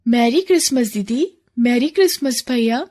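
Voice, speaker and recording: A sweet, humble little girl with a high voice, acted by a woman for a cartoon moral story. She talks in a gentle, pleading way, full of earnest hope. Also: expressive, unhurried. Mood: cheerful.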